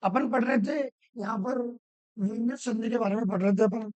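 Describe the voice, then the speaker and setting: A woman teaching Hindi grammar, hoarse from a cold, asking questions and answering them herself while repeating the rules loudly. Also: fairly high pitch, fairly quick, no echo. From Rajasthan. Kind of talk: classroom-style lesson.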